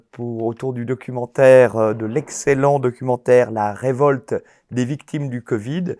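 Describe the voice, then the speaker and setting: Halting, hesitant man hosting an interview. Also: mid-range voice, a little mellow, clean tone, dry recording, clean studio sound. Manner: halting, many fillers and restarts. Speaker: festival host interviewer.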